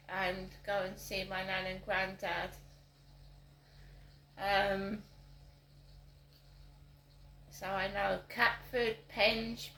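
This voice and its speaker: A middle-aged woman chatting casually in a vlog, meandering slowly with many ums and restarts. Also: medium pitch, fairly bright tone, roomy sound, slight background noise. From South East London. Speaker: vlogger. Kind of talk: casual rambling vlog monologue. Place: outdoors on a walk with a dog.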